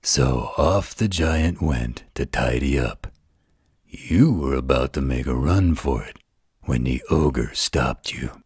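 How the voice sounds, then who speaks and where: A man playing a gentle, patient werewolf who tells a bedtime story in a soothing, tender manner. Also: extremely low pitch, slow pace, breathy edge, no echo, studio quality, full character voice. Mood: soothing storytelling. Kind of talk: intimate bedtime roleplay storytelling.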